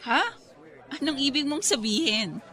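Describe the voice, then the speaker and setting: A woman's voice: high-pitched, lively intonation, moderate pace, sharp tone, breathy edge, a faint crowd, exaggerated voice. A woman playing an earnest, playful young single woman being courted in a radio romance drama. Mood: playfully offended.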